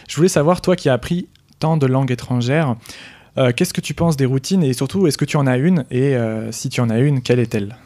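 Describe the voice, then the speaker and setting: A French man hosting a podcast, asking his guest questions in a quick, friendly way. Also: medium pitch, a little mellow. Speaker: podcast host. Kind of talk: interview questions.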